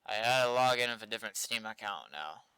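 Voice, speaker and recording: A man's voice: fairly low pitch, animated, quick pace, sharp tone, smooth, no echo, low-quality recording. A young man rambling casually on a livestream, often trailing off into mumbled asides. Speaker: streamer and game developer.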